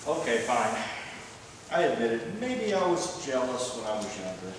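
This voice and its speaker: A man's voice: medium pitch, sing-song, normal speed, lightly acted. A man playing a jealous, dutiful elder brother in a church readers theater monologue, grudging and complaining with emphasis. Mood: resentful. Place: large church room, live before congregation. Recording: echoey church hall, distant, clear background noise.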